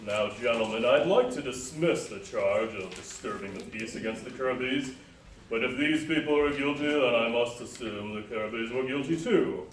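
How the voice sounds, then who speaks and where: A man's voice: medium pitch, normal speed, lightly acted. A man playing a stern but fair judge, formal and authoritative, in a live radio play. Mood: formal, official. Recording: echoey hall from far off, noisy.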